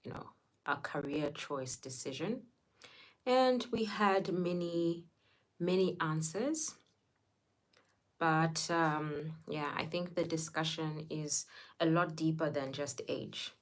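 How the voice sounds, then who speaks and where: A young woman from Zimbabwe hosts a relaxed livestream from home. Her voice sits low, and she takes her time with each thought. She chats easily, greets viewers by name and laughs often. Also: slow. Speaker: livestream host. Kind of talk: casual livestream chat.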